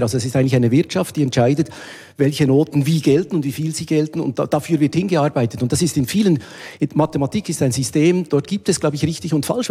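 A man on a discussion panel speaks with a husky, mellow voice. He is animated, breaking off and restarting his sentences. Long hall reverb trails his words. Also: fairly high pitch. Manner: animated, restarts when agitated. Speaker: panel participant, father.

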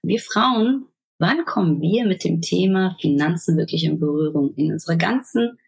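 A woman's voice: fairly low pitch, lively intonation, normal speed, vocal fry, husky. A woman giving earnest, reflective interview answers, correcting herself mid-sentence. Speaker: course graduate.

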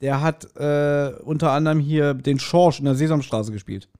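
A man's voice: on the high side, moderate pace, warm tone, smooth. A man, chatting casually and telling nostalgic anecdotes in a blunt, joking way as a podcast host.

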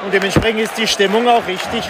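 A man reporting live and casually from a football match as a podcast host, speaking in an excited, raised voice. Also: sits high, quick pace. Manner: excited, raised voice over stadium noise. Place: outdoors in a football stadium stand. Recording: loud stadium crowd noise, outdoor field recording. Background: loud cheering football crowd.

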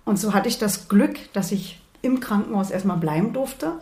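A woman in her sixties from Berlin, a retired nurse and author, telling her life story in a calm, matter-of-fact way. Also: medium pitch, normal speed. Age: 60s. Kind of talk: personal life story in conversation. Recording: roomy echo.

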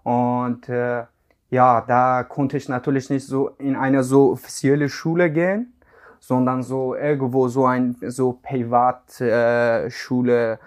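A man's voice: mid-range voice, level tone, slow pace. Young man, hesitant German. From Afghanistan. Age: 20s. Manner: hesitant, searching for words. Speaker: guest. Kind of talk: casual interview talk.

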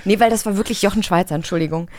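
A woman co-hosting a podcast who tells anecdotes in an animated way, laughing and acting out what people said. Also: medium pitch, racing, no echo, clean studio sound. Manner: animated, laughing storyteller who acts out quotes. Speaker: podcast co-host. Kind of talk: casual conversation.

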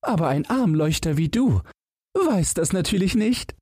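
Man doing a high-pitched cheeky girl. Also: sing-song, unhurried, a little mellow, slightly rough, no echo, clean studio sound, exaggerated voice. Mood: mocking. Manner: teasing, taunting. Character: clever, cheeky, mocking young girl. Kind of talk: storybook character reading.

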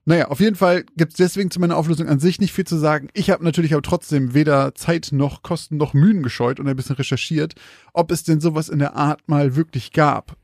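A man's voice: a little high, steady tone, quick pace, gravelly, a little mellow, no room sound, clean studio sound. A man chatting casually as a podcast co-host, telling things in a colloquial storytelling way.

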